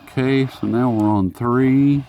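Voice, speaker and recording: A man's voice: medium pitch, fairly even intonation, measured pace, warm tone, slight background noise. A man chatting casually through a hands-on gadget review in a rambling, folksy, self-mocking way, as a hobbyist reviewer.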